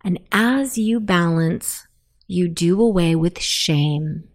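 Female sleep meditation narrator, slightly low pitch, very unhurried pacing, soothing and deliberate delivery. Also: darkish tone. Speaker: meditation guide.

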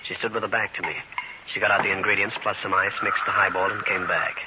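Man playing a deep-voiced hardboiled American detective, old radio. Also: little pitch movement, fast, close to an everyday voice. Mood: brooding narration. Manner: terse, hardboiled, world-weary. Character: tough, brooding male New York private detective. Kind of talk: old-time radio detective acting and narration. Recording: dull, hissy old radio recording.

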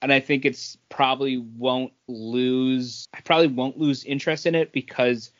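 A man's voice: medium pitch, steady tone, normal speed, muffled recording. A man co-hosting a podcast, chatting casually about games. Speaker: podcast co-host.

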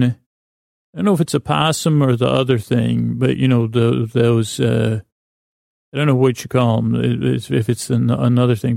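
A middle-aged man hosting a sleep podcast, rambling hesitantly and often trailing off mid-thought in a gravelly voice. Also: medium pitch, darkish tone, no room sound, studio quality. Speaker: podcast host.

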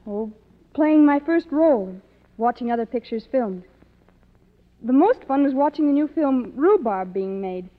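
Casting: actress as 1950s radio guest, scripted chatty plug, higher register, muffled old sound. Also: female voice, expressive, unhurried. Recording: muffled old radio recording, hall reverb.

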